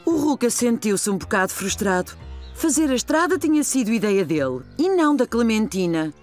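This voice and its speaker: A woman's voice: on the high side, very animated, normal speed, a little mellow. Woman narrating a children's cartoon. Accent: European Portuguese. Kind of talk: scripted children's story narration. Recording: faint music behind the voice.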